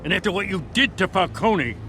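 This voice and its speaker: An actor playing a middle-aged police lieutenant, blunt, wary and gravelly, in a dramatic game. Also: male voice, high-pitched, animated, a little fast, over music. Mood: wary, exasperated. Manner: exasperated, blunt.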